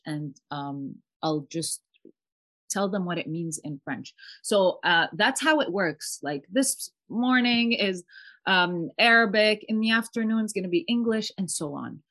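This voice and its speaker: A woman's voice: on the low side, unhurried, fairly bright tone. Earnest chatty woman in her thirties. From Palestinian family, raised in Canada. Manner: earnest, chatty, sprinkles Arabic phrases. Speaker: guest, homeschooling mother. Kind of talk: casual interview chat.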